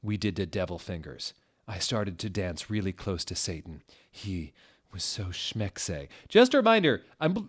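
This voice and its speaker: A man narrating a story as a comic dramatic reading, with a theatrical, deliberate, mock-serious delivery. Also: low voice.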